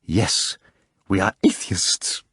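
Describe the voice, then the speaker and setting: A man's voice: steady tone, slow, sharp tone, breathy edge, dry recording, clean studio sound, exaggerated voice. A man voicing a learned middle-aged male editor who lectures insistently in a raised voice. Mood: polite. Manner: lecturing, high-pitched and insistent.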